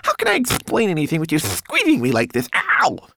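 A man's voice: on the high side, huge pitch swings, brisk, vocal fry, fairly bright tone, a little hiss or hum, voice pushed up, heavily acted. A man voicing a tiny, timid, indignant homunculus manservant who talks formally and stammers in protest. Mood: squealing indignant.

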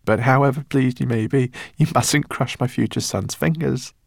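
A man voicing a composed, gently teasing wife and mother in a storybook, wry and kindly. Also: mid-range voice, normal speed, gravelly, dry recording, studio quality, pitched above the performer's own voice. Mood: amused.

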